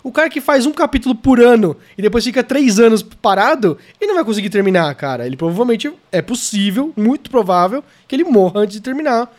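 A man's voice: very high voice, animated, quick pace, hardly any pauses, darkish tone. A young Brazilian man in casual chat, explaining with enthusiasm and repeating his points. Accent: Brazilian Portuguese. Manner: eager explaining, lots of repetition.

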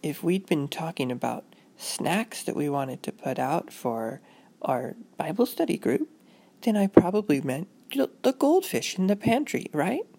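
Woman as a patient mother, slightly raised pitch. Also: sing-song, measured pace, mellow, breathy edge, exaggerated voice. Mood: patient, explaining. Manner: patient, coaxing, explanatory. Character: patient, gentle mother explaining things to her child.

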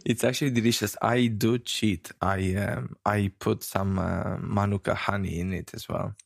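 A man from Romania co-hosts a relaxed chat show. His voice is rough and gravelly, sitting low, and he speaks haltingly with plenty of fillers and small laughs. The sound is dry and close. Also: no room sound. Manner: halting, many fillers and restarts, laughs often. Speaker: podcast co-host. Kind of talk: casual chat.

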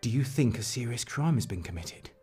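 A man playing a flustered, evasive suburban neighbour in a dark comedy audio drama, nervous, over-polite and hesitant. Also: mid-range voice, animated, brisk, slightly rough, soft background music. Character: flustered, evasive suburban male neighbour caught lying to police.

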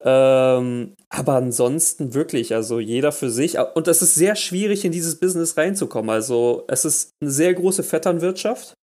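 A man on a podcast chats away, animated and quick to stammer as his thoughts run ahead. His voice sits a little high for a man. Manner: animated, restarts and stammers when excited. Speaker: podcast co-host. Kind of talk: casual chat.

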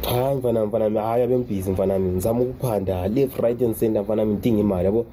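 A man's voice: lowish voice, steady tone, quick pace, dark tone, close to an everyday voice. A man playing a proud, protective father in a radio drama, talking in repetitive, colloquial exclamations. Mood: insistent, proud. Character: proud, protective father struggling to provide for his son.

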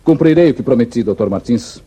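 Um ator brasileiro interpretando um herói de faroeste destemido, com fala firme, formal e comedida de radionovela dos anos 1950. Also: male voice, medium pitch, animated, background noise, natural voice. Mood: resolute. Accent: Brazilian. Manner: firm, formal, measured. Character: steadfast, fearless cowboy hero lawman. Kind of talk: 1950s radio western acting.

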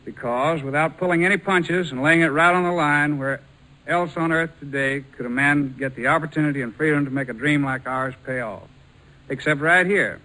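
A man's voice: on the high side, normal speed, bright, forceful tone, poor audio. An American man giving an earnest, scripted guest speech on 1940s radio. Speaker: guest, airline founder and former military pilot.

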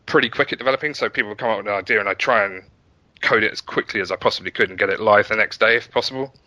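A man's voice: on the low side, racing, bright, forceful tone, no room sound, highs cut off. A middle-aged man talking casually as an interview guest, explaining how he built something in a self-deprecating way. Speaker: interview guest, website founder and developer.

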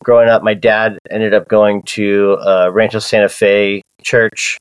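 Relaxed low-voiced man. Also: a little slow, smooth, no echo. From Southern California. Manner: loose, many fillers and restarts. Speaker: podcast guest. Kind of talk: casual personal storytelling.

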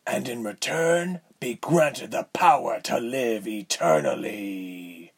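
A man voicing a condescending villain lord in a fantasy story, measured and smooth in manner with a breathy, husky tone. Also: mid-range voice, pitched above the performer's own voice, heavily acted. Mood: persuasive. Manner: measured, silky, haughty.

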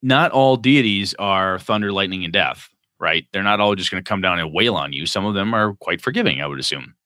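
A man with a North American accent and a low voice, recorded close and dry. He talks as a podcast co-host in a loose, slangy, conversational style, moving between discussion and reading messages out. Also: expressive, fairly quick, bright, forceful tone, no echo. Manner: informal, slangy. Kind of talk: casual discussion, reading listener messages aloud.

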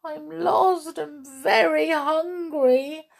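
A woman's voice: sits high, fairly even intonation, extremely slow, vocal fry, pitched above the performer's own voice, full character voice. A woman voicing a sad, hungry little orphan boy kitten in a children's storybook, plaintively. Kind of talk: children's storybook read aloud.

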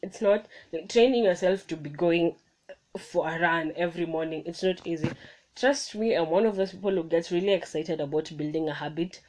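A woman's voice: fairly low pitch, normal speed, a little mellow, poor audio. A young woman hosting her own podcast, talking through motivational points in a chatty way, often restarting her sentences, recorded on a phone. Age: young adult. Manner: chatty, self-correcting, motivational. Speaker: podcast host. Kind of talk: casual solo podcast monologue. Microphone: phone microphone.

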